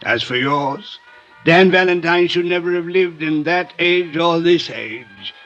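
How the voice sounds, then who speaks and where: Aging drunken cynic, florid formal diction, slow delivery, world-weary, 1950s radio drama, dull old recording. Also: male voice, fairly high pitch, a bit bright. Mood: melancholy, weary. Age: older. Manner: florid, formal, theatrical speech. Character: bitter drunken aging former friend of a racketeer, world-weary. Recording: dull vintage radio recording, music behind.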